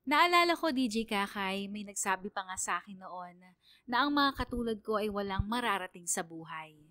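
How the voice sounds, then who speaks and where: A Filipino woman working as a radio DJ, narrating a listener's letter as a scripted dramatic story in an earnest, measured way. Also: mid-range voice, animated, bright, forceful tone, no echo. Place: dry studio, music behind.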